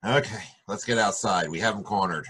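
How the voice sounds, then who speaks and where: A man playing a hard-boiled private detective in a 1940s-style radio drama, wry, brisk and tough-talking, with a husky voice. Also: fairly low pitch, pushed and bright. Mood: brisk, commanding.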